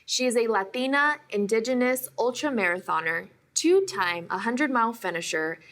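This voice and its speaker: A woman hosting a podcast interview, reading a scripted intro and then asking questions with lively enthusiasm. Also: mid-range voice. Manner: warm and enthusiastic, praising the guest. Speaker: podcast host.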